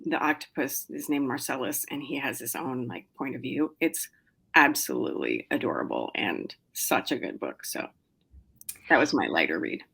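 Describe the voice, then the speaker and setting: A middle-aged woman with a low-pitched voice talks about her writing as a podcast guest. Her talk is loose and chatty, full of "um"s and restarts, with small laughs. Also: expressive, moderate pace, gravelly, a bit bright, slightly rough. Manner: chatty, lots of fillers and restarts, laughs often. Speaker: novelist guest. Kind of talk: casual interview chat.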